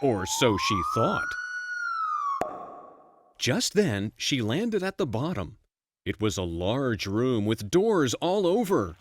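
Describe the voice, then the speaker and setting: A storyteller narrating a bedtime fairy tale in an expressive, playful storybook manner. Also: medium pitch, slow pace, pauses often, a little hiss or hum.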